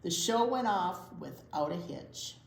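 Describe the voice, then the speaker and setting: Slow, expressive woman reading to young children. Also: lowish voice, creaky. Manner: expressive, drawn-out emphasis. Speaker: children's library storytime co-host and reader. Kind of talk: read-aloud storytelling for young children. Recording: echoey room.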